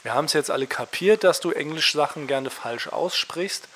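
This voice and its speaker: A man with a slightly high voice chats casually as a podcast co-host. He jokes in a playful, mock-serious manner and keeps the banter loose and comedic. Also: lively intonation, moderate pace, fairly bright tone.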